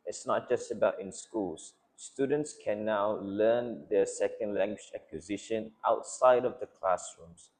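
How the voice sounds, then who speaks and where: A young man speaks as a panelist in a fairly low voice. He talks fluently and formally, explaining points like a lecture. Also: a little slow, hardly any pauses, a little mellow. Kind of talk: student panel discussion.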